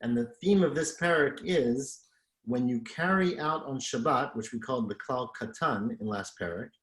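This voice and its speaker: A man teaching a Talmud class over a video call, his voice carrying a roomy echo. He is friendly and brisk as he moves the session along. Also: medium pitch, a bit bright. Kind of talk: opening a study session.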